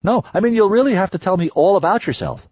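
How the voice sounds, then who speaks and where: A man voicing an eager, inquisitive ship historian, talking well above his own pitch. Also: lively intonation, quick pace, no room sound, lo-fi sound.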